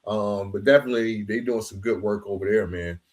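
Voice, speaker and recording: A man's voice: fairly low pitch, fairly quick, darkish tone. A man hosting a podcast, talking casually and earnestly, often dropping in "man" and "bro" as he goes. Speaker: podcast host.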